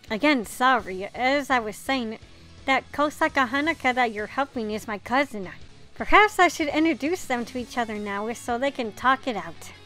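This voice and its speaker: Female gamer, high bright voice, informal and reactive, reading game dialogue over faint music. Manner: reactive, informal, mild swearing. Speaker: gamer reading a visual novel aloud. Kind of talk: casual playthrough with read-aloud dialogue and reactions.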